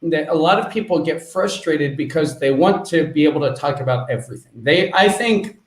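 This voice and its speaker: A man hosting a casual interview as an English teacher, encouraging and quick to laugh. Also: a little high, expressive, reverberant, rough recording.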